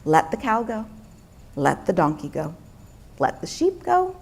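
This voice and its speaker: A woman voicing a patient old wise man in a calm, measured, unhurried way. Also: mid-range voice, creaky, husky, exaggerated voice. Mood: hushed.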